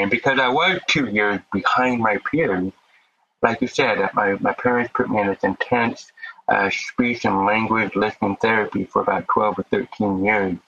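A man's voice: fairly low pitch, pushed and bright, lo-fi sound. A man with a deaf accent, a podcast guest speaking earnestly about his life, sometimes repeating words as he starts. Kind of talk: casual interview talk.

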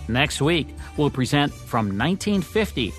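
A man's voice: fairly high pitch, big pitch swings, measured pace. An American man co-hosting a radio history programme, reading scripted commentary. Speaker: radio co-host. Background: music.

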